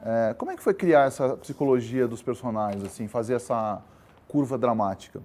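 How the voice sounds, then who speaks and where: Brazilian guy interviewing, soft and mellow, calm questions. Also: medium pitch, brisk. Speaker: interviewer host.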